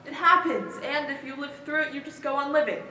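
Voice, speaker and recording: A woman's voice: high-pitched, brisk, sharp tone. A woman playing an anguished, haunted young woman in a theatrical stage monologue. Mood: reflective, grave. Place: stage in a hall. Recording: echoey stage hall, faint noise.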